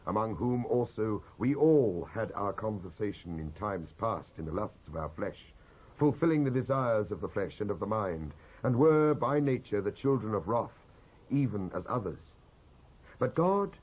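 A man's voice: medium pitch, breathy edge. A British man giving a solemn, declamatory dramatised reading from the Bible. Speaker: Bible reader. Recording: muffled old cassette transfer.

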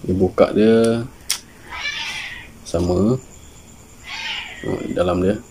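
A Malaysian man in his thirties chatting casually and haltingly as an informal vlogger, often searching for words. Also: sits low, steady tone, background noise. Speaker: fishing channel vlogger. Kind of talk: casual unboxing chat. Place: small room indoors with guitars on the wall.

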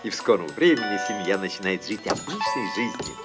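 A man playing a gentle television nature-programme narrator in a cartoon, reading scripted documentary lines. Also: on the low side, sing-song, fairly quick. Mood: soothing. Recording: music behind a television voice.

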